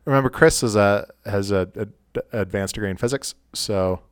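A man with a fairly low voice, recorded dry with no room sound. He talks as a podcast co-host in an informal, conversational way. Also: normal speed, smooth, no echo. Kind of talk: casual chat.

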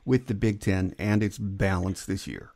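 A man with a fairly low voice hosts a sports podcast. He puts questions to his guest in a casual, conversational interview style. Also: unhurried, a little mellow.

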